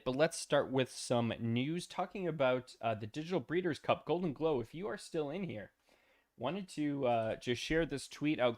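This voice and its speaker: A man hosting a casual livestream, tired and losing his voice, talking loosely with many fillers and greeting viewers by name. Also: mid-range voice. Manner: loose and rambling, many ums and uhs, greets chat by name. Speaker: livestream host.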